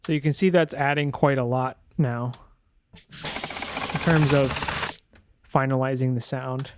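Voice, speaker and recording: A man presenting a sound design software tutorial in a casual, informal way, thinking aloud as he demonstrates. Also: mid-range voice, fairly even intonation, slow, background noise.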